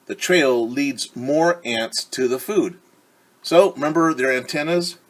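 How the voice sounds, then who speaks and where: A man's voice: mid-range voice, a little slow, low-quality recording. A man teacher reading a picture book aloud to young children, explaining words, asking them questions and adding playful sound effects. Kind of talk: children's story time read-aloud.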